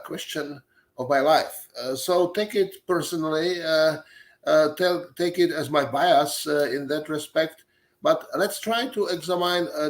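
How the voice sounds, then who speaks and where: A man in his seventies with a Czech accent, a native Czech speaker giving an academic lecture, pausing often on fillers and restarting phrases. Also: fairly high pitch. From Czech Republic. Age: 70s. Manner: halting, many fillers and restarts.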